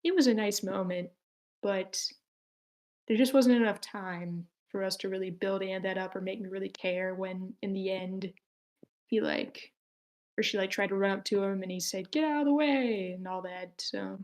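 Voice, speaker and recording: A woman's voice: medium pitch, normal speed, a little mellow. A woman hosting an online screenplay table read, speaking casually as she gives feedback and moderates.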